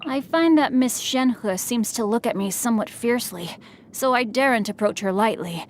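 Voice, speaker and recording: A woman voicing a refined, courteous young male swordsman and scholar in a game, speaking politely and formally with a gently teasing edge. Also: fairly high pitch, lively intonation, moderate pace, slight background noise, natural voice. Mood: polite, composed. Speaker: game character voice lines. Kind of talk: scripted game voice lines.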